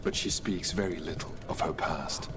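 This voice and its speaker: An actor playing a stern, reverent dwarf guide in a fantasy video game, speaking formally. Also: male voice, low voice, fairly even intonation, normal speed, very noisy, natural voice.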